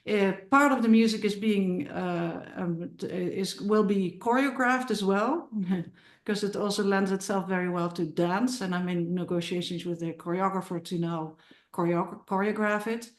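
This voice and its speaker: A woman's voice: medium pitch, fairly even intonation, moderate pace. A middle-aged woman from the Netherlands with a Dutch accent, talking about her film on a podcast, full of restarts and repeated words. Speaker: filmmaker and musician guest. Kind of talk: casual podcast interview. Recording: roomy sounding.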